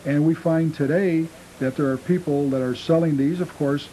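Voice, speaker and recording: A man's voice: fairly high pitch, fairly even intonation, moderate pace, dark tone, rough recording. A man speaking as an interview guest, casually explaining his restoration craft on an old cable television tape. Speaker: guest, model train restorer.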